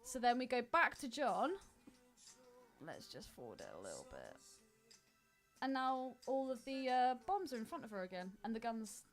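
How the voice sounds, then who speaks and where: A woman's voice: a little high, wildly swooping pitch, normal speed, soft background music. A British woman co-hosting a film podcast in casual banter, argumentative, sweary and teasing.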